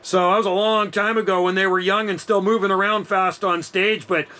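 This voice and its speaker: A man's voice: high voice, fairly even intonation, fairly quick, sharp tone. A middle-aged man chatting casually as an amateur radio operator, enthusiastic and slangy. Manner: enthusiastic, laid-back slangy talk.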